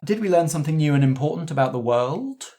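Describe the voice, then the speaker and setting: A man's voice: on the high side, animated, quick pace, warm tone. A man hosting a casual round-table discussion as compere and game master.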